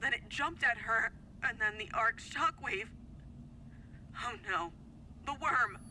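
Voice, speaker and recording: Woman as a very bright, frightened little robot companion. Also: fairly high pitch, expressive, slight background noise, heavily acted, low-quality recording. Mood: terrified. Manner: panicked, halting. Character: small frightened female floating robot companion. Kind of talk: dramatic audio drama acting.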